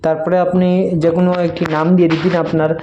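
A man's voice: fairly high pitch, little pitch movement, quick pace, dark tone, muffled recording. A man explains a phone app step by step in an informal, repetitive instructional way. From Barak Valley, Assam, India. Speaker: tutorial video creator. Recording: faint background noise.

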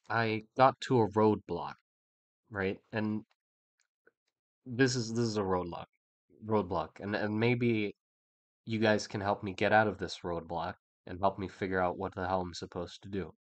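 A man's voice: fairly low pitch, a little slow, pauses often, dry recording. A young man with a stuffy, slightly nasal voice, thinking aloud in a rambling, restarting way while he draws on a livestream. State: stuffy nose, a bit nasal. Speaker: artist streaming a creature design session. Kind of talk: casual livestream talk while drawing.

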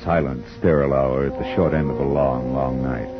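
An actor playing a world-weary private detective in 1950s radio noir, with a wry, hard-boiled manner. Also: male voice, extremely low pitch, fairly even intonation, moderate pace, over loud music. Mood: weary, brooding. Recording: dull 1950s radio recording.

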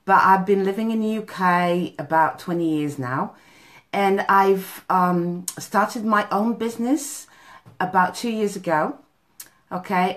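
A woman, coaching live over a phone camera in a small office, chatty, with many ums and "okay?" tags. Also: fairly low pitch. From Portugal. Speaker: business coach. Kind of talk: live video talk to a group. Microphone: phone camera at arm's length.

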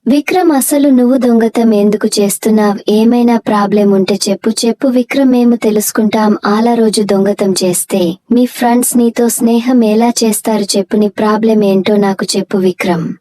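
A concerned, understanding lady teacher from a cartoon story, voiced by a woman, questions her pupils earnestly. Her tone is dark and mellow, with a dry studio-like sound. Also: a little high, fast talker, dry recording, close to an everyday voice.

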